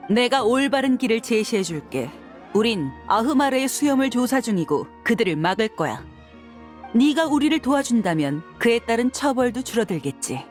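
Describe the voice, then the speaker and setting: Young mercenary woman, game role: higher register, blunt, firm, proud, bitter, music lightly behind. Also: big pitch swings, moderate pace. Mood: stern, threatening. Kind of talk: dubbed video game acting.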